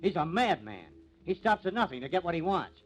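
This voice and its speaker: A man's voice: sits high, sing-song, fairly quick, faint music behind. An actor playing a bitter, hot-headed young man, sneering and combative, in 1950s radio drama style. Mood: bitter, scornful. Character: bitter, hot-headed young farmer's son, contemptuous of lawmen. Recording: muffled, noisy old radio recording.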